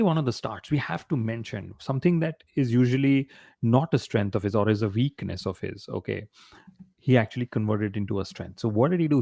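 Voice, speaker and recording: A man's voice: fairly low pitch, vocal fry, warm tone, dry recording, studio quality. A man co-hosting a motorsport podcast in casual conversation, often posing rhetorical questions. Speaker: podcast co-host.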